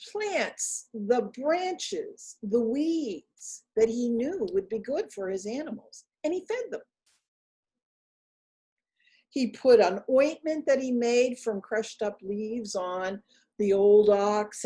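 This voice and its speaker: A woman telling a folktale aloud in an expressive, deliberate manner with emphatic pauses. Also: a little high. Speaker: storyteller. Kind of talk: live oral folktale storytelling, dramatic.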